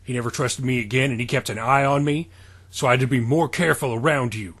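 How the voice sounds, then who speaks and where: A man's voice: medium pitch, fast, fairly bright tone, slightly rough. A man voicing an irritable, scheming professor villain from a wrestling parody story, with a taunting, barking delivery. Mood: gloating.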